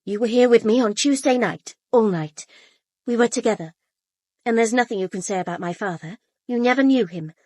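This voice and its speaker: A woman acting as a young upper-class British woman in a radio mystery. She is brittle and bitter, with clipped, caustic delivery. The voice is slightly high and husky, recorded dry and close. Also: a little fast, a little mellow, dry recording, close to an everyday voice. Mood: curt. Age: young adult.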